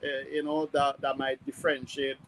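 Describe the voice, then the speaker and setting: A man giving conversational sports analysis as a guest, hesitant and measured as he thinks aloud. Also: medium pitch, flat delivery, a bit bright, low-quality recording. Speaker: football pundit guest.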